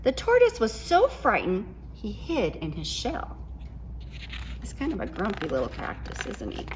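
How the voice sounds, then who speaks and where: A woman reading a picture book aloud to children in an expressive storytelling style. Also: mid-range voice, measured pace, slight background noise, rough recording. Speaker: picture book reader at a school.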